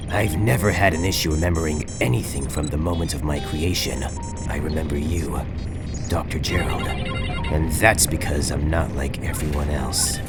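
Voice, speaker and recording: A man plays a brooding hedgehog creature with an extremely low voice. He speaks earnestly and solemnly, holding back and halting, in cartoon comic-dub style. Music plays clearly behind him. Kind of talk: scripted comic dub voice acting.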